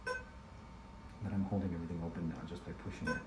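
A man working as an ear, nose and throat doctor, explaining a procedure to a patient in a measured, instructive way. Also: sits low, huge pitch swings. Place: small clinic exam room, indoors. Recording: roomy clinic room with background noise.

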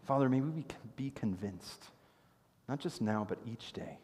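A man in his thirties preaching a sermon from a church stage, telling stories with animated gestures. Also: mid-range voice, normal speed, breathy edge. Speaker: pastor. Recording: long reverb of a church hall.

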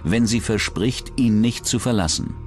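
A man's voice: on the low side, moderate pace, soft background music. A man reading scripted true-crime documentary narration as the narrator.

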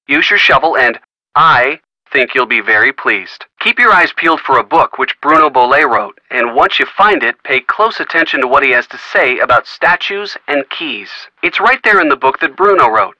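A man's voice: medium pitch, pushed and bright, no echo, low-quality recording. An actor playing a friendly, upbeat male helper in a video game, giving chummy step-by-step hints. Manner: breezy, instructive, chummy. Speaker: in-game hint giver. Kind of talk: studio video game voice acting.

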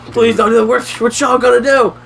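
A young man playing a video game with a friend, calling out the action with excitable exclamations. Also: extremely high pitch, brisk, creaky, a little hiss or hum. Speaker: co-player. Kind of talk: casual play-by-play banter.

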